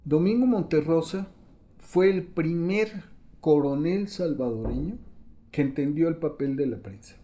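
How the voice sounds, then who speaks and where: A man's voice: fairly high pitch, expressive, measured pace, dark tone. A man giving interview commentary in short, emphatic statements.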